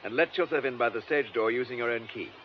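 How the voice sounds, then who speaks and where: A male actor playing a calm, courteous, authoritative police superintendent in a radio drama, brisk and patient. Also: mid-range voice, close to an everyday voice. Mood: patient, probing. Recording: dull radio recording, faint noise.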